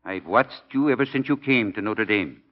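An actor playing a gruff but fatherly priest and science professor in 1940s radio style, firm and measured. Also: lowish voice, lively intonation, lightly acted. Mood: fatherly, encouraging. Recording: muffled 1940 radio broadcast.

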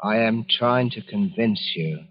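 A man's voice: on the low side, a little slow. An actor playing a meek, troubled travelling salesman accused of murder in an old radio drama, hesitant, polite and brooding. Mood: brooding, menacing. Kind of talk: 1940s radio drama acting. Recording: muffled old radio recording.

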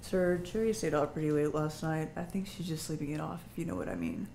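An actress playing a put-upon assistant director on a film set, calling out commands in a comedy. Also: female voice, low voice, animated, a little fast, vocal fry, warm tone, a little hiss or hum. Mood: apologetic.

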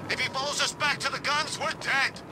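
An actor playing a panicky, bickering getaway-driver thug in a video game, with rough street talk. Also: male voice, very high-pitched, fairly even intonation, normal speed, noisy background. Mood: nervous, snappy. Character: panicky, bickering male henchman driving getaway vehicle. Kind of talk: video game enemy chatter.

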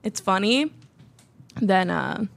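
A woman's voice: medium pitch, animated, vocal fry. A woman in her twenties hosting a casual podcast at a desk mic, chatty and full of fillers. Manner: chatty, quick laughs, many "like" and "um". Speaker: podcast host. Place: small studio with textured walls. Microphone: desk mic on stand, headphones.

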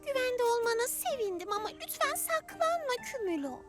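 A brave little cartoon girl with a very high, swooping voice. She is earnest and encouraging. Loud music plays behind her. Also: very animated, normal speed. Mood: hopeful. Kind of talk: children's cartoon dubbing.

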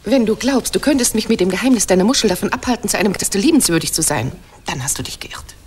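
Sharp-tongued, coquettish Greek wife female actor, very lively pitch, faintly noisy old radio play recording. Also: natural voice. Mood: indignant, mocking. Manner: mocking, coquettish, quick to needle.

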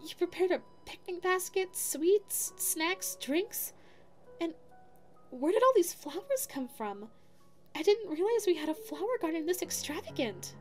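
A woman's voice: very high voice, very animated, a little slow, a little mellow, soft background music, heavily acted. A woman voicing a sweet, flustered, lovestruck young female dog secretary in an ASMR audio roleplay, stammering and self-effacing. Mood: flustered, astonished. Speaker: voice actor.

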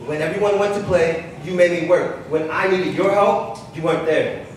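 A man's voice: sits high, normal speed, close to an everyday voice. A man on stage playing a wounded, resentful grown son in a staged reading, speaking in an accusing, aggrieved way. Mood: hurt, resentful. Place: large echoey public hall with glass balcony. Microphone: handheld microphone, heard through room speakers. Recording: echoey hall, distant, faint noise.

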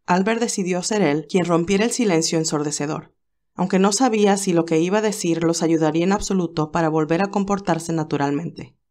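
A woman reading a romance novel aloud in a calm, even storytelling voice. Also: on the low side, a little fast. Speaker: narrator reading a fan novel. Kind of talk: audiobook narration.

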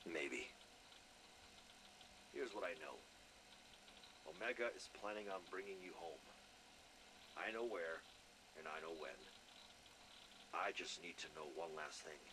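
Deep-voiced, steely officer. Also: male voice, a little slow, pauses often. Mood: insistent. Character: steely, confident Russian special operations officer. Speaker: special operations officer. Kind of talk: dramatic game voice acting. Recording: clear background noise and rumbling ambience.

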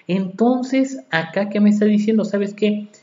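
A man's voice: sits high, unhurried, darkish tone. A Mexican accountant lecturing on tax law, reading legal articles aloud and then explaining them with rhetorical questions to his listeners. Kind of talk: podcast lecture. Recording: echoey room, dull lo-fi sound.

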